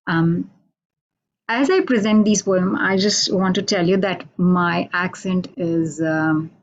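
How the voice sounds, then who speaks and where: A woman from India with an Indian accent, a Hindi native speaker, giving a halting, unhurried poetry reading over a video call from a radio station office. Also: fairly low pitch, dull audio. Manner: unhurried, halting, with many fillers. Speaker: poet.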